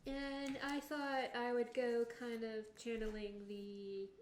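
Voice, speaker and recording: A woman's voice: a little high, level tone, takes it slowly, a little mellow. A woman chatting casually as a player at a tabletop roleplaying session. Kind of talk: casual chat. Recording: echoey room with faint noise and clicks.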